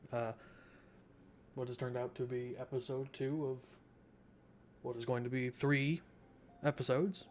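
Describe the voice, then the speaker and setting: A man giving informal let's play commentary, thinking aloud as he goes. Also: medium pitch. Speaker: gaming video host.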